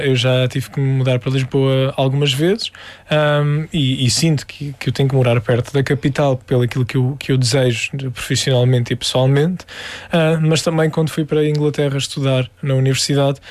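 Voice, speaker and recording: A man's voice: mid-range voice, flat delivery, a little fast, a little mellow, no echo. Earnest young Portuguese man, dry sound. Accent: European Portuguese. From Algarve, Portugal. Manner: earnest, thoughtful, many hesitations and restarts. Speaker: musician guest. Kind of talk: interview conversation.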